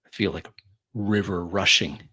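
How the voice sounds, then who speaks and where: Male roleplayer, low register, dramatic first-person narration, deliberate delivery with long pauses. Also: steady tone, pushed and bright, husky. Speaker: tabletop roleplay player.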